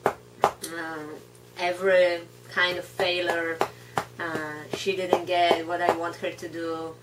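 A woman in her thirties with a Polish accent, reflecting casually in hesitant, halting non-native English. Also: on the low side, little pitch movement. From Poland. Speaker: guide dog puppy raiser. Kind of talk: casual reflective talk to camera. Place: indoor room at home, seated on floor. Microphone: camera mic a few feet away. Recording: distant camera mic, hum and background noise.

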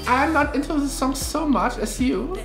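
A man's voice: very high voice, moderate pace. Man reacting chattily in a roomy room. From Germany. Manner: chatty, enthusiastic, short remarks over the music. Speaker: reaction video host. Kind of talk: casual reaction commentary. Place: home room with a flag on the wall. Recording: roomy home room, faint music behind.

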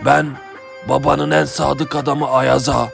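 A man playing a loyal old retainer in an animated adventure, telling of the past in a gravelly, earnest, solemn voice. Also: medium pitch, measured pace. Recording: music behind the voice.

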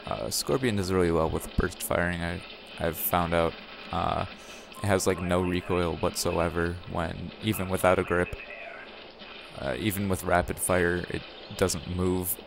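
A young man talks over game footage in a very low, gravelly voice with a slight rasp. He rambles loosely and keeps correcting himself mid-sentence. Music plays clearly behind him. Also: flat delivery, measured pace. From Wisconsin, USA. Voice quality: raspy. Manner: rambling, self-correcting, chatty. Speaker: gaming channel host. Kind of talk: casual gameplay commentary.

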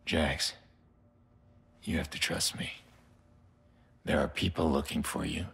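An actor playing a grim, weary middle-aged vigilante in a video game, speaking in terse, strained, low-key lines. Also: male voice, level tone, slow, creaky, a bit bright, breathy edge, heavily acted. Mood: grave, pleading. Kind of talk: video game cutscene acting. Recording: music behind the voice. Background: cinematic music.

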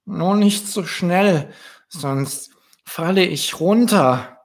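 A man's voice: high-pitched, very slow, creaky, pitched above the performer's own voice, exaggerated voice. A man voicing a timid, cautious young male ladybug with a hesitant murmur in a children's storybook. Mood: nervous caution.

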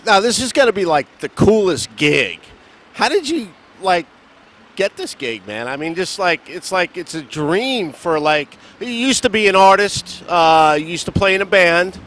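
A man's voice: high-pitched, lively intonation, a little slow, fairly bright tone. A man interviewing on the street, slangy and playful, calling people man. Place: outdoors at a seaport. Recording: faint street noise and traffic.

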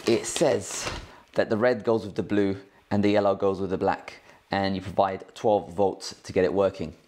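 A man's voice: low-pitched, little pitch movement, normal speed, noisy background. A man hosting a tech tutorial in a casual, informal, slangy way, explaining things step by step. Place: indoors at a cluttered work desk.

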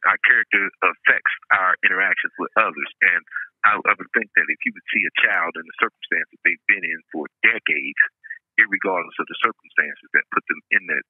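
A man's voice: deep voice, a little fast. A man calling in by telephone, talking casually and reflectively in a philosophical way, repeating himself for emphasis. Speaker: guest calling by phone. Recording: tinny, echoey telephone line.

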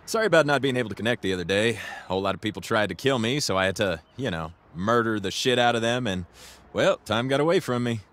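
A man's voice: lowish voice, expressive, fast, hardly any pauses, fairly bright tone. A man playing a cocky, easygoing young crime boss in a video game cutscene, talking casually with wry, profane ease. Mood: casual, upbeat.

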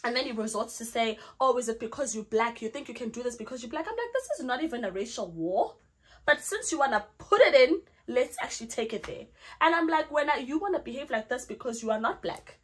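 A woman's voice: a little high, a little fast, husky. A young South African woman, a Zulu speaker, telling a storytime vlog story and acting out her own lines. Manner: animated, acts out her own lines in the retelling. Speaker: vlogger.

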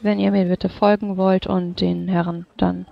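A woman's voice: on the low side, level tone, measured pace, gravelly, no echo. A woman running a tabletop roleplay as game master, speaking politely, formally and with some hesitation. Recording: dull lo-fi microphone.

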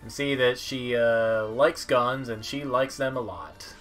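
A man commentates on a video game in a casual, musing manner. He speaks haltingly with a mid-pitched voice, over game music. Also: fairly even intonation, a bit bright. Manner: halting, musing, reads on-screen text aloud. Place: indoor room at home, seated.

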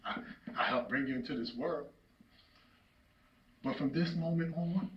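A man playing a grieving, furious father disowning his son in a theatrical stage monologue. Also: high-pitched. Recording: muffled, roomy, distant studio stage microphone.

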